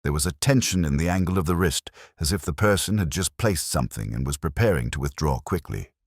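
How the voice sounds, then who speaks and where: A man reading scripted mystery fiction as an audiobook narrator, with a measured, literary and dryly wry delivery. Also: very deep voice, lively intonation, no room sound, studio quality.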